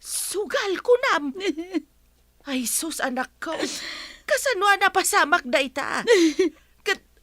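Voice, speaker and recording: A woman's voice: very high voice, sing-song, slow, pauses often, pushed and bright, no room sound, clean studio sound, exaggerated voice. An actress playing a concerned, doting middle-aged mother in a radio drama, with a Filipino accent. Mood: alarmed. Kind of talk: Philippine radio drama acting. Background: music.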